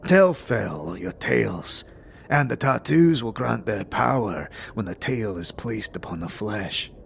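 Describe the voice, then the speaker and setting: Man acting a solemn alien soldier, low voice, sweeping intonation, archaic formal declaiming, proud, fantasy roleplay. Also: gravelly. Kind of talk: tabletop-style fantasy roleplay. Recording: faint background noise.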